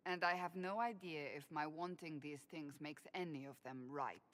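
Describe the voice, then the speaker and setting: Here is a proud, devout warrior woman from a video game scene. Her voice sits low and firm, and she weighs each earnest word with care. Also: normal speed, a bit bright.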